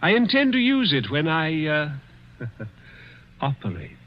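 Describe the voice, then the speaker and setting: A man's voice: fairly high pitch, huge pitch swings, slow pace, heavily acted. An actor playing a cruel, dying rich man who taunts with sly chuckles in a 1940s radio drama. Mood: menacing. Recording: dull old radio broadcast.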